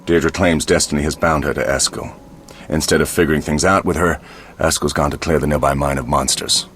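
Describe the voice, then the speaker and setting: A man playing a gruff, scornful monster hunter in a video game, curt and sardonic in his delivery. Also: very deep voice, fairly even intonation, fairly quick, a bit bright, slight background noise. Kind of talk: video game dialogue acting.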